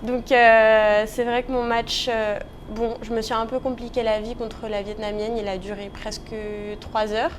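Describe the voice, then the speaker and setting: A woman's voice: on the high side, little pitch movement, normal speed, hardly any pauses, noisy background. A nineteen-year-old woman from the Paris region, giving casual interview answers. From Paris region, France. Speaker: interview guest, professional tennis player.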